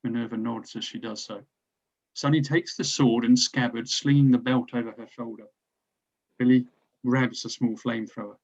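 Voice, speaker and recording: A man's voice: mid-range voice, fairly even intonation, normal speed, vocal fry, a little mellow, dull audio. A man narrating stage directions from a script at a drama table read.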